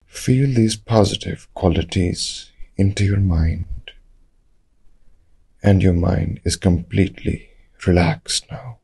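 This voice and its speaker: A man leading a guided meditation, giving calm, measured instructions in short phrases with long pauses. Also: deep voice, creaky, husky.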